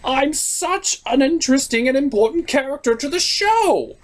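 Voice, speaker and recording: A man's voice: very high-pitched, lively intonation, normal speed, voice pushed up. A man voicing a vain, needy trickster spirit in a pompous, wheedling way for a puppet-show skit. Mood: wounded vanity.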